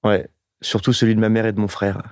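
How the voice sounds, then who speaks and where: A young man from the Paris region, a guest answering questions in a casual interview in a relaxed way, with many short "yeah" replies. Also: on the low side, fast, no echo, studio quality. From Paris region, France. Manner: laid-back, short answers, many "ouais".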